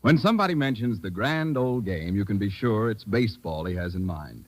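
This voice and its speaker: An American man reading a folksy sponsor pitch as a 1940s radio announcer. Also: lively intonation, fairly quick, faint music behind.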